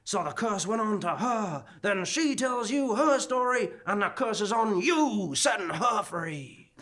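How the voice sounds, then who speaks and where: A man voicing a ghost of a one-time professor, giddy and talkative, laughing through his tale. The voice sits very high and sounds bright and lively. It is a live theatre radio-play performance. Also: unhurried. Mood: chatty. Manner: cheerful, rambling, chuckling. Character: chatty ghost of a male former professor. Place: theatre stage before a live audience.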